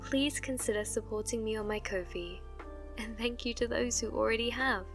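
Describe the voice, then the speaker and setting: A woman who performs ASMR roleplays, giving a soft-spoken outro to listeners. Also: a little high, steady tone, normal speed, over music.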